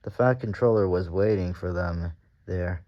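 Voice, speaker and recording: A man's voice: deep voice, normal speed, muffled recording. A man narrating a children's storybook aloud as the story reader.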